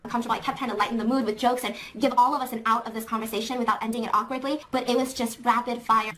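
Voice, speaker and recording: A woman with a high-pitched, loud voice telling an emotional storytime in a clip. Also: steady tone, rapid-fire. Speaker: youtuber in a played clip. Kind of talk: storytime monologue.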